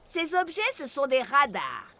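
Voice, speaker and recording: A woman's voice: high voice, wildly swooping pitch, a little slow, vocal fry, bright, forceful tone, heavily acted. A woman playing a cheerful, curious presenter who teaches young children in an educational cartoon. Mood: informative.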